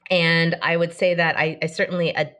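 A woman guest on a marketing podcast, answering in a thoughtful, measured way. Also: fairly low pitch, little pitch movement, sharp tone. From born in Denmark, raised partly in Israel and the United States. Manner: thoughtful, measured, some restarts. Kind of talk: conversational interview.

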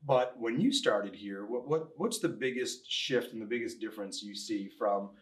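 A man's voice: on the low side, normal speed. Chatty male podcast co-host. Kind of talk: casual interview chat.

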